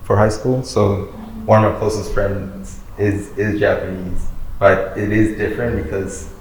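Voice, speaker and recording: A man in his twenties from Bangladesh with a lowish, very even voice that barely rises or falls, calm and matter-of-fact. He sounds far off in a strongly echoing room. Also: measured pace. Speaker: panel guest. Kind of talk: casual group discussion. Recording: echoey room, distant mic, faint hiss.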